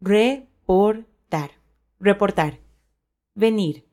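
A woman's voice: medium pitch, drawn-out words, darkish tone. A woman co-hosting a language lesson, presenting words and example sentences.

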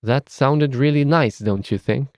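Romanian-accented male tutor, unhurried careful diction, dry studio sound. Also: medium pitch, dry recording. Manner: measured, careful enunciation of letters. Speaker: language teacher. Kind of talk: scripted language lesson.

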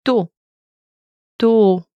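A woman teaching a language, saying each word slowly and clearly twice in a scripted lesson. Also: fairly high pitch, dry recording, muffled recording. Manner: slow careful pronunciation with repeats. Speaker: native-speaker language teacher.

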